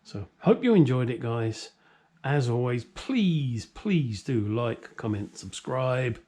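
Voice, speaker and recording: A man's voice: mid-range voice, animated, slow pace, mellow, husky. Jokey British man, casual and rambling. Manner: jokey, self-deprecating, blunt with mild swearing. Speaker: host of a classic car workshop vlog. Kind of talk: casual, rambling talk to camera. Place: home workshop.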